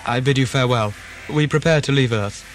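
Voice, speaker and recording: A man's voice: medium pitch, a little fast, soft background music, natural voice, low-quality recording. Cold, formal starship captain played by a man. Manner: formal, clipped, pedantic phrasing. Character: cold, ruthless, logical male corporate starship captain. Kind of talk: audio drama acting.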